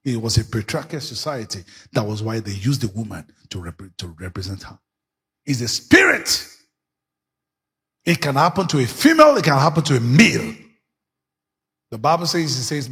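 A male pastor preaching a sermon, dropping his voice low and then bursting into shouts. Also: breathy edge. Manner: preaching with sudden shouts and dramatic swings in pitch.

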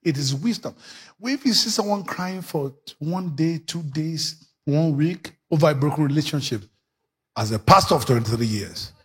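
Husky preacher with wild swings. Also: male voice, animated, normal speed. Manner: preaching with sudden shouts and dramatic swings in pitch. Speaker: pastor. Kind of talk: sermon.

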